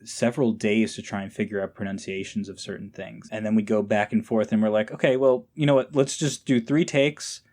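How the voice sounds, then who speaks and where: A man's voice: on the low side, steady tone, brisk, hardly any pauses, a little mellow. A man talking casually as a guest in a podcast conversation. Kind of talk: casual chat.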